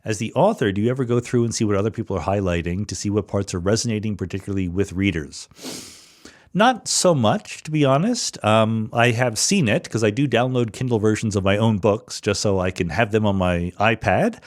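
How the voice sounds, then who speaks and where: Low-voiced man musing casually. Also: expressive, brisk. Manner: thoughtful, conversational, frequent fillers and restarts. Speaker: podcast host answering listener questions. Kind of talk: casual solo monologue.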